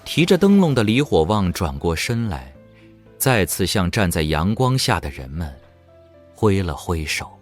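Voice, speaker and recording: A man's voice: deep voice, sing-song, unhurried. A man giving dramatic scripted narration for an audio drama. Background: faint music.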